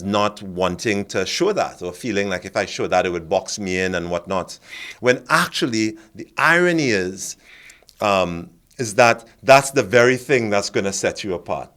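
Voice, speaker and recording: A man's voice: lowish voice, a bit bright. A man from Trinidad, an actor and acting coach, talking thoughtfully on a discussion panel and often repeating words as he builds a point.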